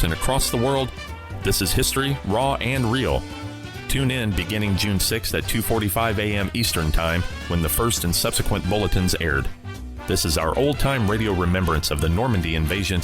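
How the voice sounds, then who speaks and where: A man hosting an old-time radio podcast, reading a scripted outro and promo. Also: low-pitched. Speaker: podcast host. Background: music.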